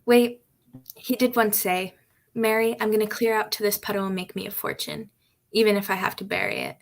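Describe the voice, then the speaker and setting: A woman's voice: medium pitch, animated, fairly quick, close to an everyday voice. A woman playing a forlorn, defensive young servant girl in a radio drama, with a plaintive manner. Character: Mary, forlorn, defensive young village maid.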